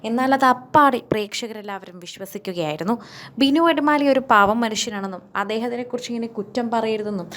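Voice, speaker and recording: A woman narrates entertainment news from a script in a fairly high voice. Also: slight background noise.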